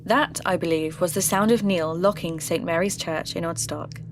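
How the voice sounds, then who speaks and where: Folklore podcast host female actor: slightly low voice, reflective, earnest scripted narration, confiding delivery. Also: normal speed, a little hiss or hum, natural voice. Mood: musing, wry. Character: earnest, curious woman hosting a folklore investigation podcast.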